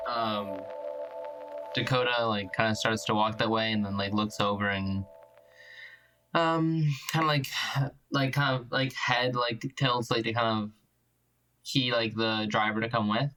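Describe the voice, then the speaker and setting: A man playing in an actual-play tabletop game, describing actions casually and haltingly, with lots of verbal stumbles. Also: on the low side, fairly even intonation, bright, forceful tone, faint music behind, low-quality recording. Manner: halting, frequent use of "like". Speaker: player in an actual-play podcast. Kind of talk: casual improvised game narration.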